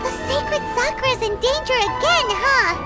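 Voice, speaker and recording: Squeaky, excitable little game sidekick. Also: female voice, normal speed, loud music behind the voice. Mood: indignant. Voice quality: squeaky, childlike. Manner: chirpy, exclamatory. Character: small childlike flying companion, cute, excitable, bossy. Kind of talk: video game character acting.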